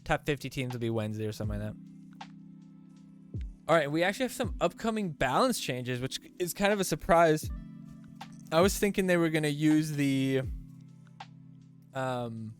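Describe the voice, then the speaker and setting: A man's voice: a little high, lively intonation, normal speed, long pauses, soft background music. A man hosting a gaming live stream in casual commentary, sounding stuffy and rambling with lots of fillers and restarts. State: stuffy nose.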